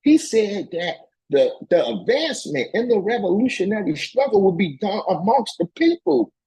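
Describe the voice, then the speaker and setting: A man's voice: high voice, sing-song, moderate pace, dark tone, husky, poor audio. A man giving an impassioned political lecture, reading and commenting in an emphatic, colloquial way and repeating phrases to drive them home.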